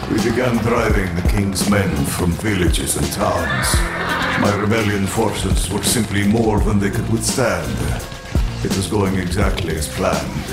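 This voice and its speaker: An actor playing a cold, imperious armored warlord in a dramatic comic adaptation, speaking in a grandiose, commanding, deliberate way. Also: male voice, sits low. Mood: brooding. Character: cold, imperious armored tyrant warlord narrating his conquest. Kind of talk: dramatic comic narration and dialogue. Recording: echoing voice under loud music.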